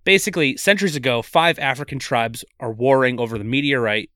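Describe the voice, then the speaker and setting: A man's voice: medium pitch, a little fast, a bit bright, no room sound, studio quality. A man co-hosting a casual movie podcast, retelling the plot with easy laughs. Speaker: podcast co-host.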